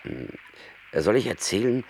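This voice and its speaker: Very deep-voiced boastful wandering rat. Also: male voice, very animated, measured pace, a little mellow. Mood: musing, boastful. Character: boastful, world-weary old male wandering rat storyteller. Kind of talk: German radio play acting. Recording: noisy old radio drama recording.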